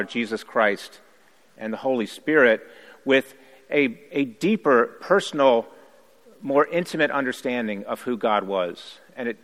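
A man in his middle pitch range, whose native tongue is Haitian Creole, speaks as a pastor sharing his own story. His delivery is earnest and measured, with a reflective, unhurried feel. His voice carries a long reverb, as if spoken in a large hall. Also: a bit bright. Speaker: priest and pastor. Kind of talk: personal recovery testimony.

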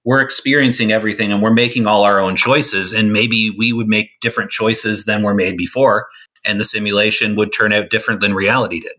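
A man talks through his ideas at length in a relaxed podcast chat. His voice is bright and forward, and it is a little worn from losing it. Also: on the low side, fairly quick. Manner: thoughtful, explains at length with restarts. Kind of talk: casual discussion.

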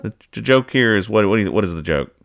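A man hosting a casual podcast, talking through comic strips in a halting, mock-analytical way and reading out the dialogue. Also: on the low side, expressive, creaky, soft background music. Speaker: podcast host. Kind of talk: casual chat, describing comic strips and explaining the jokes.

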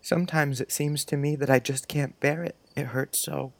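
A man's voice: fairly high pitch, fairly even intonation, moderate pace, creaky, no room sound. A man playing a sensitive, dreamy little schoolboy in a storybook, speaking in an earnest, wistful, confiding way. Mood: grieving.

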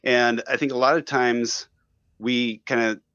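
A man's voice: mid-range voice, level tone, a little slow, a bit bright, clear, resonant tone, no room sound, dull audio. A man speaking as a guest in a casual discussion, easygoing and thinking aloud.

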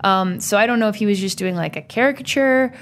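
A woman's voice: medium pitch, quick pace, a little hiss or hum. A woman in a casual, conversational film discussion as a co-host, speaking informally.

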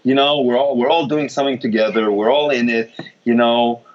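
A man from Israel telling lively stories in casual chat, restarting often and jumping up in pitch when excited. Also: brisk. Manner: animated storyteller, many restarts, pitch jumps when excited. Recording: dull lo-fi sound.

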